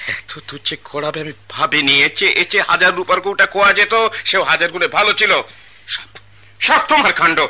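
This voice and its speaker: Pompous wealthy father, quick-tempered, scolding, lively pitch, radio drama. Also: exaggerated voice. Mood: exasperated. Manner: blustering, scolding his wife and son.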